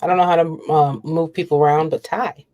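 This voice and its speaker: Low-pitched woman hosting casual podcast chat. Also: steady tone, a little fast, warm tone, muffled recording. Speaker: podcast host.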